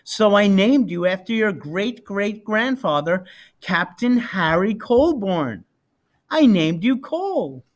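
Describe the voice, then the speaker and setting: A man reads the part of a loving mother at bedtime in a picture book. The delivery is very slow, patient and tender, as to a young son. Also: sits high, sing-song, full character voice.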